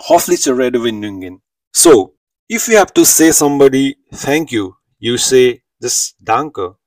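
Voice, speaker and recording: Man teaching, lively swooping pitch, gravelly edge. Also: dry recording, distant mic. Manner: didactic, repeats phrases for the learner. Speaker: language teacher. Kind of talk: instructional lesson narration.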